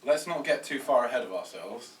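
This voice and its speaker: A man's voice: mid-range voice, lively intonation, fairly quick, lightly acted. A man playing a creepy, lecherous, patronising casting director at an amateur comedy table read. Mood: patronising approval. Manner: suggestive, patronising. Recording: distant mic, faint room noise.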